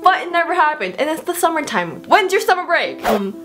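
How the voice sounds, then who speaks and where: A teenage girl answering viewer questions in a casual vlog, bubbly and self-mocking, with quick asides. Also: very high voice, big pitch swings, a bit bright. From South Korea. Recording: music playing under the voice. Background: background music.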